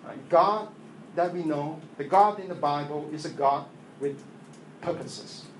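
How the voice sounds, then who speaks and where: A man whose first language is Chinese, preaching a sermon in English after an opening prayer, halting and earnest with many fillers and restarts. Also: a little high. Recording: echoey room with faint ambient noise.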